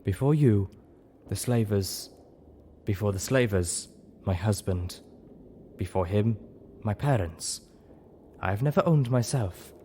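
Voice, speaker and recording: A scarred zebra mare voiced by a man from a fantasy audiobook, weary and haunted after slavery. The voice is low, heavy and grave, in short halting phrases, with faint noise on the recording. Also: dark tone. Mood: sorrowful.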